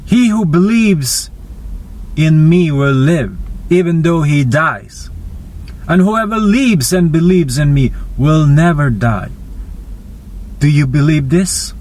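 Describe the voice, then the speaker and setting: A man's voice: fairly high pitch, lively intonation, takes it slowly, a little mellow, low-quality recording. A man voicing a solemn, assured male teacher, Jesus, in a dramatized scripture reading.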